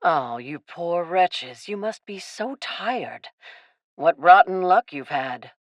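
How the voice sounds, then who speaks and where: A woman's voice: lowish voice, animated, takes it slowly, no echo. A woman playing a falsely kind, scheming captain in a radio play, with a sugary, insincere manner. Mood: falsely sympathetic.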